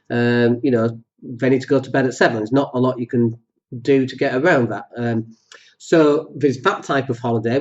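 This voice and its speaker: A man hosting a solo podcast, talking with a cold that makes his voice deeper, rambling and jokey with many fillers. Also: dull audio. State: has a cold, voice deeper than usual. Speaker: podcast host.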